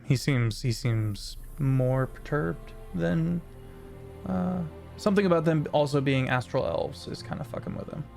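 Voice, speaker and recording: Male game master, laid-back gravelly narration with plenty of laughs. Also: a little high, unhurried, a little mellow, faint music behind. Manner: laughs often, rambling narration.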